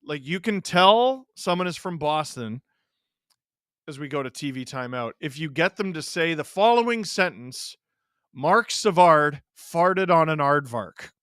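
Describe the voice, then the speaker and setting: A man's voice: fairly high pitch, expressive, unhurried, a bit bright, smooth, dry recording, studio quality. A man in his thirties from Canada hosting a live hockey watch-along, joking with chat and reacting to plays. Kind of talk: casual live-stream commentary.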